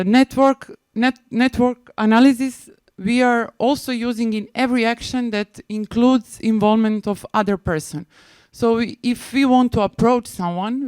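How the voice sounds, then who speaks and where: A woman with a Serbian accent giving a prepared conference presentation in a hesitant, halting manner, often restarting phrases. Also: mid-range voice, a little mellow, clean tone, no room sound. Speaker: conference presenter, maritime spatial planner.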